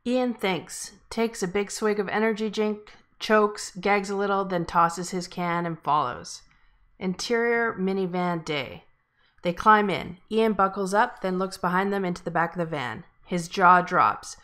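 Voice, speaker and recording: A woman's voice: mid-range voice, a little slow, a bit bright. A woman reading stage directions from a film script as scripted narration at a table read. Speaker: stand-in stage directions reader.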